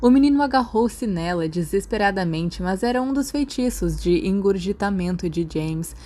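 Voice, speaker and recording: A woman's voice: mid-range voice, animated, moderate pace, warm tone. A woman with a Brazilian accent reading a story as a scripted audiobook narrator. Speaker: podfic narrator.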